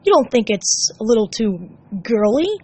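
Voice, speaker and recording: A woman's voice: a little high, very animated, moderate pace, a little mellow. A woman voicing a blunt young male hedgehog who is grumpy yet caring, speaking plainly and earnestly. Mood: tender, sheepish.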